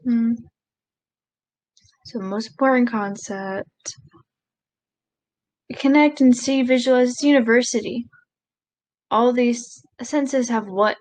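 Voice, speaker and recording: A woman voicing a live sign language interpretation of a speech, halting, with pauses and self-corrections. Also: a little high, poor audio.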